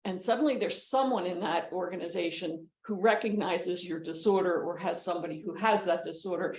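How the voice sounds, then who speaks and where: A woman's voice: on the low side, brisk, a little mellow. A woman on a conference panel, speaking earnestly and repeating phrases for emphasis. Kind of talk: casual panel talk.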